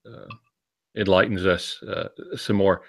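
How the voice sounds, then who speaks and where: A man with a slightly deep voice talks casually on a panel. He gives advice plainly and reassuringly, as one of the show's regular voices. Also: fairly even intonation, measured pace, creaky. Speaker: podcast panelist giving writing advice.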